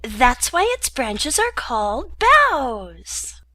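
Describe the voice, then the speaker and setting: Cheeky little storybook flower: high, bright, drawn-out sing-song. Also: female voice, sing-song, no room sound, exaggerated voice. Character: small, shrill, cheeky talking daisy flower. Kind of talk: storybook character voice.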